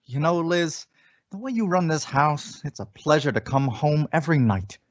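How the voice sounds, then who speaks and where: Befuddled radio sitcom husband with swooping delivery played by an actor. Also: male voice, on the high side, lively intonation, moderate pace, natural voice. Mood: contented. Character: befuddled, well-meaning young husband and office employee. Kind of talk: 1950s radio sitcom acting. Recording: dull vintage radio recording.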